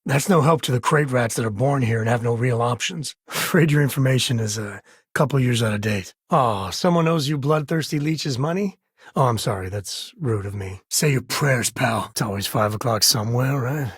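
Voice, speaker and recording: An actor voicing a wry, easygoing space ranger and father in a video game, with a husky, raspy voice and a folksy drawl. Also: mid-range voice, moderate pace, no room sound, studio quality. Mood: wry, sarcastic. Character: laid-back frontier space ranger, doting father, wry and plainspoken. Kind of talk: video game character dialogue.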